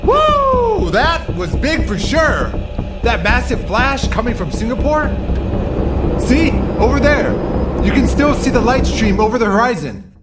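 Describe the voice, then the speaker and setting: An actor playing an excitable, keen-eyed male crew member in a video game cutscene, with a loud hum over the voice. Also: very high-pitched, unhurried, heavily acted. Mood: excited, amazed. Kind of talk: English-language video game cutscene acting.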